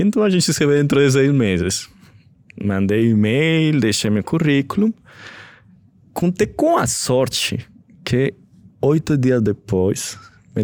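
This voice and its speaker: A man's voice: on the low side, dry recording. A young adult man from Colombia with a Colombian Spanish accent, telling stories as a chef in an interview, slipping in Spanish words and laughing often. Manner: relaxed storytelling, mixes in Spanish words. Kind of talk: interview answers.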